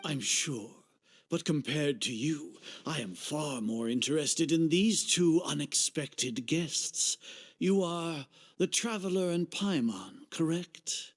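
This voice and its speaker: An actor playing a pompous, coldly menacing older grand sage in a video game dub, formal and condescending, with a husky voice. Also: male voice, fairly high pitch, animated, slow pace. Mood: mock courteous.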